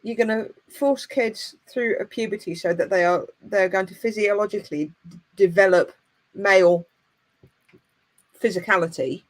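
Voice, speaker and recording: A woman's voice: medium pitch, normal speed, rough recording. A woman hosting a live online interview, speaking passionately in emphatic rhetorical questions. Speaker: host. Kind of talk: live video interview, casual conversation.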